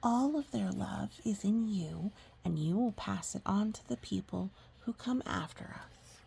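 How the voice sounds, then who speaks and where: A woman voicing a gentle, wise grandmother in a storybook, with a breathy, hushed and tender way of teaching. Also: medium pitch, lively intonation, heavily acted. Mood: tender, calm. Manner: slow, tender, instructive. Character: gentle, wise grandmother who loves gardening.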